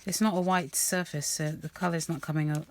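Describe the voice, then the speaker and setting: A woman rambling softly with many ums and restarts as she works on an art journal page, her voice a little croaky. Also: low-pitched, fairly even intonation, a little fast. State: slightly croaky voice. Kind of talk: casual craft-along chat.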